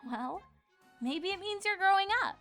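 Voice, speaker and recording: A woman's voice: very high voice, animated, normal speed, a bit bright, soft background music, exaggerated voice. A woman voicing a composed, slyly teasing young alicorn pony princess in a cartoon fan-fiction story. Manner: sly, teasing. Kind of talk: dramatized fan fiction reading.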